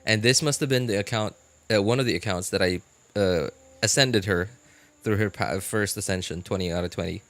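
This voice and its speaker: A man casually chatting as a live-stream host, answering viewers in a halting way and repeating his phrases. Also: lowish voice, dry recording. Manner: halting, repeats phrases, animated incredulous reactions.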